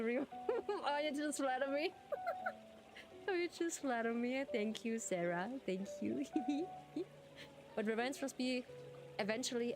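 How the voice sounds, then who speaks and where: Giggly woman chatting slowly. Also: fairly high pitch. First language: German. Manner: giggly, laughs often. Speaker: streamer. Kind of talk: casual chat with viewers. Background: game music.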